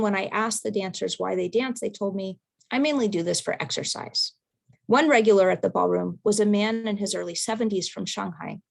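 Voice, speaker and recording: A woman presenter reading a prepared research talk in a measured, careful way over a video call. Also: mid-range voice, vocal fry. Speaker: academic presenter.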